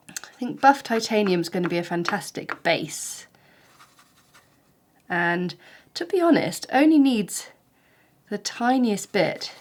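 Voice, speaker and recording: A woman, a little snuffly with hay fever, talking through a watercolour painting tutorial in a gentle, chatty, encouraging way. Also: mid-range voice, a little hiss or hum. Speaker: watercolour art teacher.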